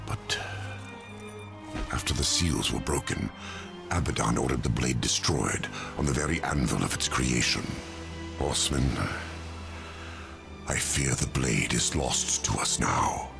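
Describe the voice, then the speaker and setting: A man playing an ancient, weary, remorseful angel of death in a video game, with a gravelly voice and slow, grave delivery. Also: expressive, over music, heavily acted. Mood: grave, urgent. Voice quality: gravelly, very deep. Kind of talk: dramatic video game cutscene acting. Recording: loud orchestral score behind voice.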